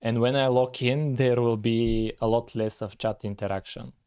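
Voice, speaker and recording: A man, talking casually on a live coding stream, thinking aloud with frequent pauses and "uh"s. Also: mid-range voice, steady tone, mellow, clear, resonant tone. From Bulgaria. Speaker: live-streaming game developer.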